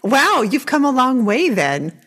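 A woman's voice: high voice, big pitch swings, measured pace, a bit bright, husky. Enthusiastic woman hosting a podcast. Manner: enthusiastic, gushing. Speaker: podcast host. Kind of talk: casual podcast interview.

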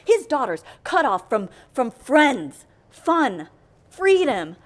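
A woman's voice: sits high, big pitch swings, takes it slowly, exaggerated voice. A woman playing an exasperated, indignant agency worker in an audio comedy, speaking with emphatic, wordy, theatrical scorn. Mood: scornful, indignant. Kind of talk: audio comedy fiction acting.

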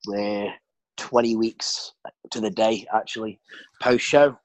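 A man's voice: on the low side, steady tone, takes it slowly, no room sound, poor audio. A British man in his twenties, a podcast guest chatting casually, laughing often and swearing freely. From Britain. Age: 20s. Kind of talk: casual interview chat.

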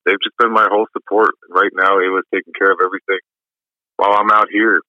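A man with a low voice, giving easygoing, rambling interview answers full of fillers. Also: little pitch movement, fast, no echo. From New York. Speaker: musician guest. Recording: dull lo-fi dry sound.

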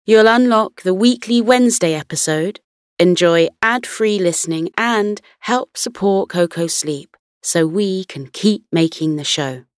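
Female narrator for kids' bedtime tales, gentle and very unhurried, close dry sound over music. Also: mid-range voice, no echo. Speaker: podcast host and bedtime story narrator. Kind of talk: scripted children's bedtime story narration.

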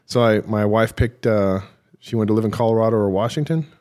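A man being interviewed as a military veteran, telling his story haltingly with self-deprecating, dry humour. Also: on the low side, darkish tone. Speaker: podcast guest, military veteran.

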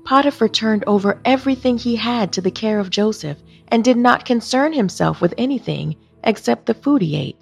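A woman narrating a Bible story as a scripted, expressive reading. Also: fairly high pitch, moderate pace, creaky, few pauses, breathy edge, faint music behind.

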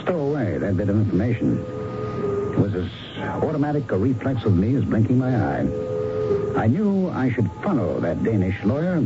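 A man's voice: fairly low pitch, sing-song, normal speed, over loud music. An actor playing a dogged, sceptical American police officer who narrates his own investigation in a radio drama. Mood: narrating, intent. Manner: probing, sceptical. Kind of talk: radio drama acting with first-person narration. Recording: muffled noisy old radio broadcast.

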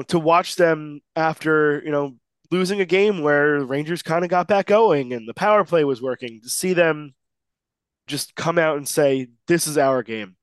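A man's voice: a little high, clear, resonant tone, no echo. A man co-hosting a sports podcast who is losing his voice and sounds a little nasal, talking emphatically with lots of restarts. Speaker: podcast co-host. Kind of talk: excited sports podcast chat.

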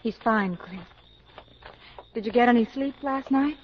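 A slightly noisy, dulled old broadcast carries an actress as a concerned American wife. The woman's voice sits fairly high, and she is patient yet plain-spoken, in 1950s radio drama manner. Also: measured pace, highs cut off, lightly acted. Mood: worried, coaxing.

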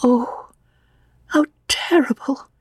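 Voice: medium pitch, expressive, moderate pace, slightly rough, no echo, studio quality, pitched above the performer's own voice. A performer voicing an anxious, polite young woman who stammers and gasps in distress, as in a dramatic audiobook. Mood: shocked. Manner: stammering, gasping. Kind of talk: dramatic audiobook character reading.

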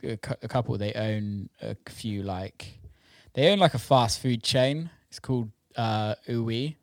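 A man's voice: mid-range voice, unhurried, gravelly, no echo, clean studio sound. A British man chatting casually and informally, enthusiastic and given to swearing. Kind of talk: casual chat about food.

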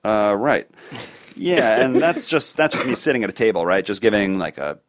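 A man playing a bumbling, evasive facility manager who speaks haltingly in odd, formal phrasing, in a sitcom table read. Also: fairly low pitch, big pitch swings. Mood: flustered.

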